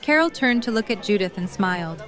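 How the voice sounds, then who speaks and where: A woman's voice: medium pitch, animated, fairly quick, crowd noise. A woman narrating a scripted story for an audio drama.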